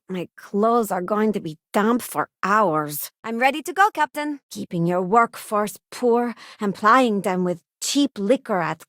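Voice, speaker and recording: A woman's voice: fairly high pitch, dry recording, studio quality. An actress playing an earnest, principled young working-class spaceship crew woman in a video game, frank and conversational. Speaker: video game companion character. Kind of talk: video game voice acting, short character lines.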